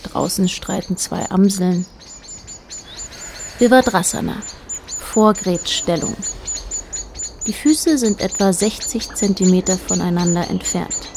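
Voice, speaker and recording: A woman narrating a literary radio play in a measured, matter-of-fact way. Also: mid-range voice, darkish tone, a little hiss or hum, lightly acted.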